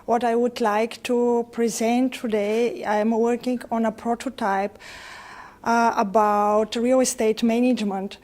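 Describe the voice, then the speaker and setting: Bulgarian woman pitching her prototype. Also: level tone, a little mellow. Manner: halting, non-native phrasing. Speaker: startup founder presenting. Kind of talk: pitch presentation.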